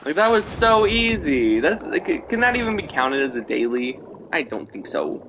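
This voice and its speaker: A man's voice: on the high side, wildly swooping pitch, normal speed, background noise. A man hosting a game livestream with casual, chatty commentary, often remarking on himself. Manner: informal, chatty, self-commenting. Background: video game music.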